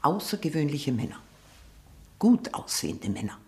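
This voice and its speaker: An older woman playing a sharp, proud, nostalgic elderly aunt in a German radio drama, with a probing, declamatory manner. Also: deep voice, sing-song, normal speed.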